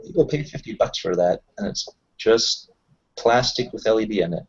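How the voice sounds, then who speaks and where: A man's voice: lowish voice, lively intonation, moderate pace, lo-fi sound. A man playing a tabletop roleplaying game, explaining things in an informal, rambling way in casual chat.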